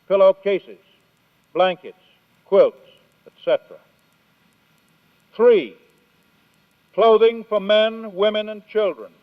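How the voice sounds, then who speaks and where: An American man giving a formal, deliberate 1930s radio address as a Scouting executive on an old archive recording. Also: sits high, big-room sound, phone-quality sound.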